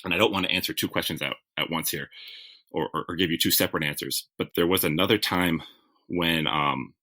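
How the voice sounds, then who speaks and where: A man's voice: low voice, fairly quick, bright, forceful tone. A man being interviewed as a TV writer, telling stories in a reflective, self-correcting conversational way. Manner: reflective, self-correcting, with frequent "like" and "you know". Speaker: guest, TV writer.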